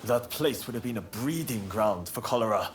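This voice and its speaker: A man playing a cool-headed, ruthless rebel plotter in a video game, measured and commanding. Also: lowish voice, lively intonation, close to an everyday voice. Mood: cold, resolute. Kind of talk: video game cutscene acting. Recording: background noise under game dialogue.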